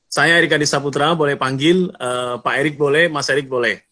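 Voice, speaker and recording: A man's voice: fairly high pitch, fairly even intonation, brisk, pushed and bright. A middle-aged man from North Sumatra, chatting and joking with the audience as a guest speaker in an online seminar over a computer mic. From North Sumatra, Indonesia. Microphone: computer mic on a video call.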